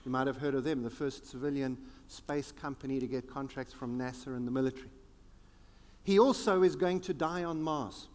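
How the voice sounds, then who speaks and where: A South African man giving a conference keynote, with dramatic pauses and a sweeping, emphatic delivery. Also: mid-range voice, long reverb tail. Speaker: keynote speaker. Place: large conference hall.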